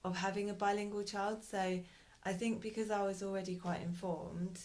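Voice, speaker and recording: A woman being interviewed as a parent in a casual conversation, talking thoughtfully and hesitantly with frequent pauses and restarts. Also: medium pitch, fairly even intonation, a little mellow. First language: English.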